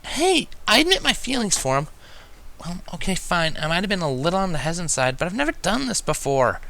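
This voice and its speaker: A man's voice: high-pitched, big pitch swings, a little fast, gravelly, pushed and bright, slight background noise, pitched above the performer's own voice, heavily acted. A man voicing a fussy, exasperated young female unicorn scholar in a story reading. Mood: flustered. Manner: pointed, fussy, protesting.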